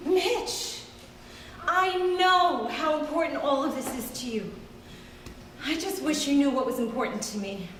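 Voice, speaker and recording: An actress playing a lonely, wounded middle-aged wife, plaintive and emphatic, in a live stage play. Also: female voice, sits high, a little slow, fairly bright tone. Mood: exasperated. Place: stage of a theater, live performance. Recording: distant, echoey hall, faint noise.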